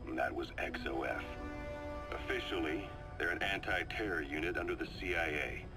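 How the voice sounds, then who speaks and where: A man playing a seasoned, calm and knowing military adviser in a video game, briefing his commander in a measured, confiding storytelling delivery. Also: very deep voice. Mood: grave, ominous. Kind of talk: video game voice acting, dramatic briefing monologue. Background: music.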